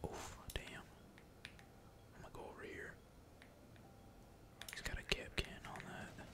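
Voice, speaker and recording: A person whispering casual, slangy, sweary gaming commentary in a breathy, unvoiced ASMR style. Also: measured pace. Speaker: gaming video creator. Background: computer keyboard typing.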